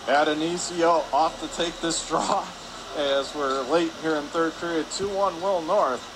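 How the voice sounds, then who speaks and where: A man calling live hockey play-by-play on the radio, excitable, with his voice rising on shots and saves. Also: a little high, unhurried. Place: indoor ice hockey arena. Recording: noisy rink ambience behind the voice.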